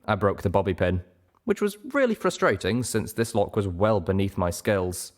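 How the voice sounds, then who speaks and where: Low-voiced man narrating a story. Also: animated, normal speed. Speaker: audiobook reader. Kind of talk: expressive scripted story narration.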